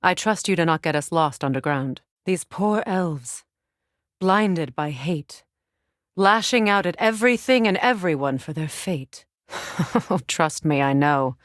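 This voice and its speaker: An actress voicing a grave, caring Norse goddess and warrior mother in a video game, with measured, formal, thoughtful diction. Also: sits low, expressive, no room sound, clean studio sound. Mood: thoughtful, gently probing. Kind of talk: scripted game dialogue.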